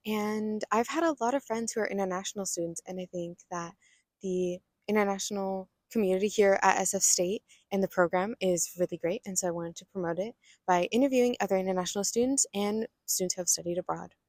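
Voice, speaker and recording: A woman's voice: medium pitch, brisk, dry recording, clean studio sound. A young woman, a student reporter, introducing her segment in a friendly, presenter-like way.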